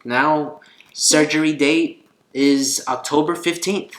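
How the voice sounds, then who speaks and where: A man's voice: a little high, steady tone, takes it slowly, a bit bright. A man vlogging in a casual, conversational and earnest way, telling a personal story. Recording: roomy echo, faint guitar music behind.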